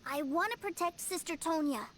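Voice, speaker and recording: A woman's voice: very high voice, normal speed, a bit bright, slight background noise. A woman voicing a stubborn, eager little boy in a scripted video game. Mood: earnest. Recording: game music underneath.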